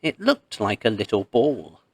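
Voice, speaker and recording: A man reading a myth aloud as a storybook narrator, expressive and measured, with pauses. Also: on the low side, slightly rough, no room sound.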